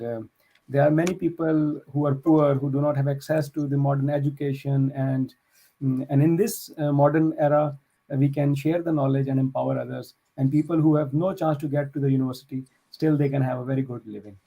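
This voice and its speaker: A man's voice: mid-range voice, fairly even intonation, a little fast, vocal fry, dark tone, poor audio. A man, a tech entrepreneur from Pakistan, speaking as a friendly, earnest guest on a video call.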